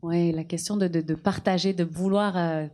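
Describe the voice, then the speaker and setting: A woman's voice: lowish voice, fairly even intonation, normal speed, warm tone. Woman moderating a festival panel. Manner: hesitant questions with fillers.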